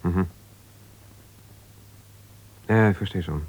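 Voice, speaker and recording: An actor playing an ambitious, self-justifying lawyer in a German radio drama, speaking haltingly and conversationally as if on the phone. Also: male voice, sits low, darkish tone, breathy edge, full character voice, rough recording. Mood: measured, advising. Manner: conversational, halting, with repeated phrases and pauses.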